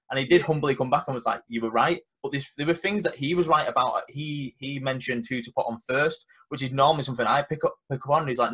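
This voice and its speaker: A young man hosts a casual podcast in a confident, emphatic way. When he retells conversations, he acts out each side of the exchange. His voice sits at an ordinary pitch for a man. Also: fairly bright tone. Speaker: podcast host and event organizer. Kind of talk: casual chat.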